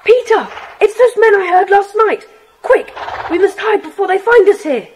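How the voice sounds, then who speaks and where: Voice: very high-pitched, animated, moderate pace, a little hiss or hum. A performer playing a curious, cautious young schoolboy sidekick in a children's audio drama, eager and chatty. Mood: anxious, urgent.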